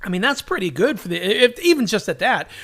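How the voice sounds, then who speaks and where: High-pitched, bright-voiced man. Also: fast talker. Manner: animated, joking, slips into mock announcer lines. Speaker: podcast co-host. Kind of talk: casual enthusiastic chat.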